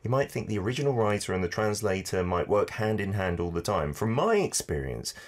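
Very low-pitched, lively interviewer. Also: a little fast. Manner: curious, chatty, lots of backchannel. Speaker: podcast interviewer. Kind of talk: interview conversation.

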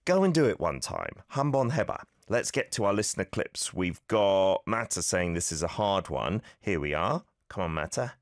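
Low-voiced man with a lively, bouncing delivery. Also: dry recording, clean studio sound. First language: not Korean. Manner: relaxed, self-deprecating, repeats phrases slowly for learners. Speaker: radio show host teaching Korean. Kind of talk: casual radio lesson chat.

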